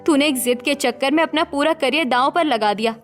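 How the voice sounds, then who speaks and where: A woman's voice: high voice, brisk, soft background music, close to an everyday voice. A woman voicing a stern, exasperated elder sister and housewife in a cartoon story, lecturing and scolding. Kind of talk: Hindi cartoon story voice acting.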